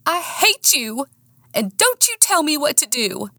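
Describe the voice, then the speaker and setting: A woman voicing a hot-tempered, heartbroken Texan woman with a twang that turns squeaky when she is worked up. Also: sing-song, a little slow, fairly bright tone, dry recording, clean studio sound, full character voice. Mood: furious. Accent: Texas.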